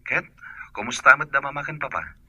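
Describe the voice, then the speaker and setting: A man's voice: medium pitch, expressive, brisk, sharp tone, breathy edge, no echo, heavily acted. A man playing a fond young overseas worker in a radio drama, with a Filipino Ilocano accent, heard through a telephone filter. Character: young man working abroad, fond and casual. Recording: muffled band-limited phone line.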